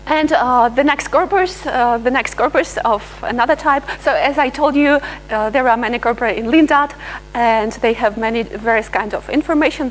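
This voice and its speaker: A woman researcher giving a live software demonstration, pausing often with fillers and restarting her sentences. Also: a little high, slight background noise, low-quality recording. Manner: hesitant, many filler sounds and restarts. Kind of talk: live software demo talk.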